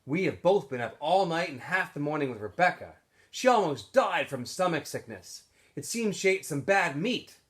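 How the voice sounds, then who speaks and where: Guy doing a worked up storybook town lady, high and swoopy, scandalized, really emphatic. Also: big pitch swings, moderate pace, a bit bright. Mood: grateful, emphatic. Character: excitable, indignant townswoman in a storybook.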